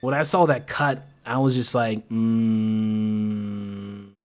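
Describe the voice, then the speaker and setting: A man's voice: on the low side, level tone, very slow, a little mellow. Slangy American man streaming over faint music. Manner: impassioned, slangy, swearing freely. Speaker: livestream host. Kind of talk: casual livestream chat.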